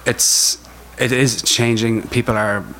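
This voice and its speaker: A man's voice: mid-range voice, level tone, slow pace, sharp tone. A man with an Irish accent speaking informally and enthusiastically as a retailer on a panel, repeating himself for emphasis. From Ireland. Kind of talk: informal spoken presentation. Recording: noticeable background noise.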